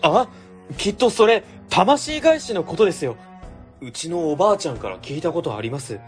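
A man playing an earnest, polite young junior office worker who explains things excitedly in a comic radio drama. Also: a little high, moderate pace, faint music behind. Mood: excited theorizing. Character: earnest, eager, polite young male junior civil servant.

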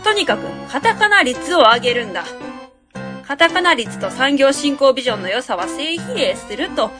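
A woman's voice: high-pitched, sing-song, normal speed, music in the background. A woman playing a pompous, self-assured consultant who gives commanding advice in a web radio drama. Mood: pompous, instructing.

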